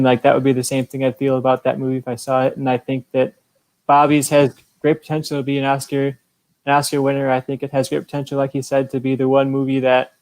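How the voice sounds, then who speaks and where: A man with a very monotone delivery gives casual opinions as a podcast judge. His pitch is middling and the sound is dry and close. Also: no echo, poor audio.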